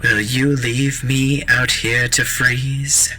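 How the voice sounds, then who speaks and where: A man voicing an inhuman creature that imitates a dead mother, pleading in a slow, drawn-out whisper. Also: medium pitch, level tone, pushed and bright, a little hiss or hum. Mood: eerie pleading.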